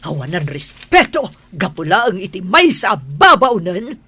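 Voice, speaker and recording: Husky, domineering, scolding mother. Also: mid-range voice, sing-song, measured pace, pushed and bright, heavily acted. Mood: furious. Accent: Ilocano. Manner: scolding, emphatic. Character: domineering, indignant mother of a grown son. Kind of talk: Ilocano radio drama acting.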